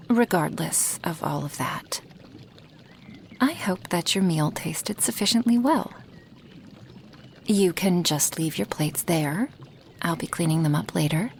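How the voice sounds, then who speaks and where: A woman playing a gentle, apologetic female dragon in a soft-spoken ASMR roleplay, breathy and formal in her careful phrasing. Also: low-pitched, slight background noise. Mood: earnest, hopeful. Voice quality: breathy, half-whispered.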